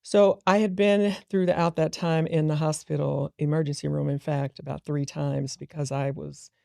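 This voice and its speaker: A woman's voice: low voice, flat delivery, moderate pace, vocal fry, dark tone, dry recording, studio quality. A middle-aged woman, a business founder, telling a personal story earnestly and reflectively in an interview. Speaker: guest entrepreneur.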